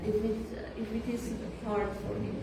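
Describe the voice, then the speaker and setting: Slow-talking teen girl in class, lots of uhs, mic far off, room echoes, noise behind. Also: on the high side, flat delivery. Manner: slow, halting, many fillers. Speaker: student. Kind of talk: classroom discussion.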